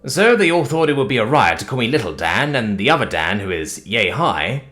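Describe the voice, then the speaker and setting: Male narrator doing an easygoing rural guy, hearty drawl, very lively pitch. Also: fairly quick. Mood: amused. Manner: drawling country talk, hearty. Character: folksy friendly rural man, easygoing.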